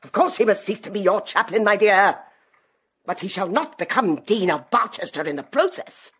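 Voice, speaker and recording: A domineering, indignant bishop's wife. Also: female voice, medium pitch, animated, normal speed, a bit bright, slightly rough. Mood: indignant command. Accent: British. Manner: haughty, emphatic, interrogating. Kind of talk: period radio drama acting.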